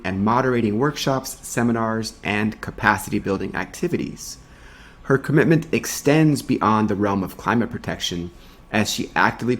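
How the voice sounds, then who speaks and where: A man co-hosting a video podcast, talking in an earnest, reflective way and reading a guest introduction. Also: fairly low pitch. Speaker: podcast co-host.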